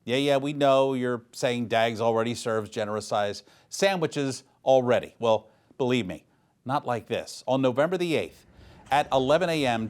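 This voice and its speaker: A man reads local news as the anchor, in a medium-pitched voice. His scripted delivery is light and humorous, with a playful mock-dramatic streak.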